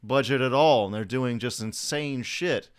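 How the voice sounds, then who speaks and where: A man in his thirties with a clean, smooth voice at an ordinary pitch. He talks like a casual podcast host, informal and free with swearing. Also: a little slow. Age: 30s. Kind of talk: casual chat.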